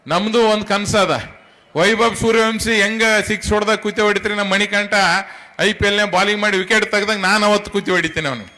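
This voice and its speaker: A middle-aged man with a North Karnataka accent delivers a public speech as the organiser of an event. His voice sits high and has a bright, forceful edge. He speaks in an emphatic, colloquial way, addressing his listeners directly. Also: fairly even intonation, quick pace. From Karnataka, India. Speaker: tournament organiser.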